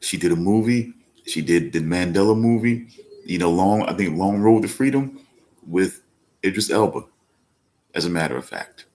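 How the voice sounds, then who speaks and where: Male podcast host, very low voice, loose rambling delivery, casual live-show chat. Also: animated, normal speed, darkish tone. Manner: rambling, with many fillers and restarts; speaks up when emphatic. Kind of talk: casual live-stream talk.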